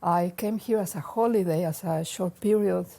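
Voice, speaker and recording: A woman's voice: on the low side, moderate pace, vocal fry, mellow. A woman from Mexico, giving brief, plain interview answers.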